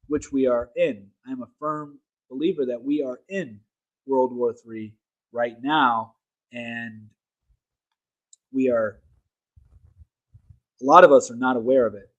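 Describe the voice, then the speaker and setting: A man's voice: medium pitch, takes it slowly, pauses often, muffled recording. A man hosting a casual opinion livestream, talking emphatically and rambling, correcting himself mid-sentence. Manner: reads articles aloud to viewers. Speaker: political livestream host.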